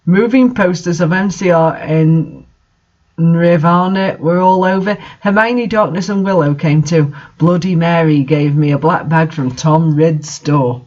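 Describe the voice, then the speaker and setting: A man's voice: on the high side, unhurried, a little mellow, dull audio. A man reading a story aloud in an amused, comedic way and adding his own commentary. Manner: amused, breaking into laughter while reading. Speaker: reader hosting a bad fanfiction reading series.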